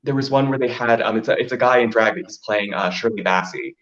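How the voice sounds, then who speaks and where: A man with a deep voice telling stories casually, as an interview guest who performs in drag. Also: quick pace, fairly bright tone. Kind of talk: casual interview chat, storytelling. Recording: dull, lo-fi audio.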